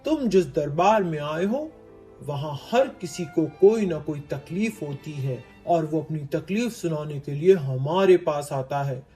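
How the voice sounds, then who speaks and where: A woman voicing a stern, lordly king who speaks in a measured, commanding way in a cartoon tale. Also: sits low, animated, warm tone, faint music behind, voice pushed down. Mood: stern, regal. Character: stern, lordly male king holding court.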